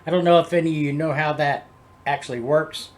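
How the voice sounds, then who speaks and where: Man rambling casually, slightly high-pitched voice. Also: fairly even intonation, fairly quick. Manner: rambling, loosely improvised. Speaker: host of a music reaction and review channel. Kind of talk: casual chat, explaining music history.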